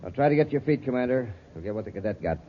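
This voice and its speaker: A man's voice: mid-range voice, expressive, rapid-fire, very noisy, lightly acted. An actor playing a cold, sly saboteur henchman in a vintage radio space serial, with a wry, menacing way of talking. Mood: coldly menacing. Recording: muffled old radio recording.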